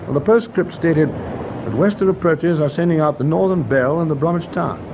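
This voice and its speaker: Over clear ship noise, a man plays a seasoned British naval captain in a radio war drama. His voice sits fairly high, and he talks in dry, understated, jaunty officer's banter. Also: moderate pace, highs cut off, close to an everyday voice. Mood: briskly pleased. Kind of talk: full-cast radio drama acting. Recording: noisy ship ambience behind voice.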